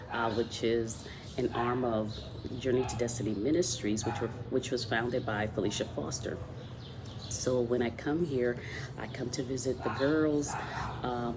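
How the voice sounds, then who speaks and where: A woman's voice: very deep voice, background noise. A woman from the Dominican Republic, hosting a casual talk, speaking conversationally with frequent fillers and restarts. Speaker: host. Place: outdoors with roosters and dogs nearby.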